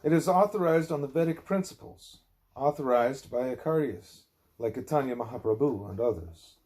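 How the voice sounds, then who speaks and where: A man with a measured, plain-spoken way of talking, reading aloud or speaking as a parent at home. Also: mid-range voice, darkish tone. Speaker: reader, parent putting a child to bed. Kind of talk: reading a religious text aloud, with quiet parental chat.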